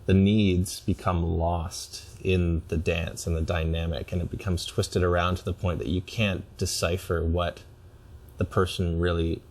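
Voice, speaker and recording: Male therapy client, very deep voice, reflective and analytical, articulate with measured phrasing. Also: level tone, a little mellow. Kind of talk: reflective conversation.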